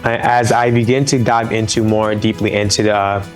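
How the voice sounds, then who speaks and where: A man's voice: fairly low pitch, little pitch movement, fairly quick, faint music behind. A man from Senegal, a chef guest on a live talk show, talking enthusiastically over a remote link. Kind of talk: remote interview.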